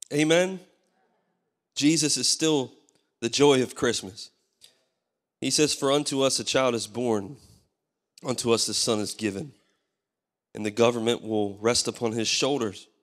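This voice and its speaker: A man in his thirties preaches from a church stage with a hoarse, tired voice, calling out for amens as he goes. His handheld mic sits in a large hall with a long reverb behind it. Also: medium pitch, a bit bright. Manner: preaching with call-and-response, asking the crowd for amens. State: losing his voice. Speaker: pastor. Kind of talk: sermon.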